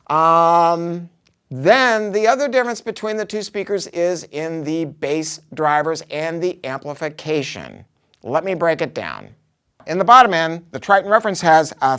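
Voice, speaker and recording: A man's voice: high-pitched, a bit bright, clear, resonant tone. A man giving a casual product review, talking informally and emphatically with some salty language.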